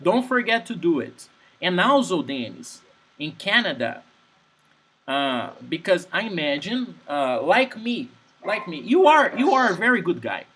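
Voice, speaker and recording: A man's voice: sits high, sing-song, a little slow, fairly bright tone. Guy giving advice in english, restarts a lot, keeps asking if you get it, noisy background. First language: Portuguese. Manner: keeps checking "Understand?", frequent restarts. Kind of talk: casual advice-giving chat.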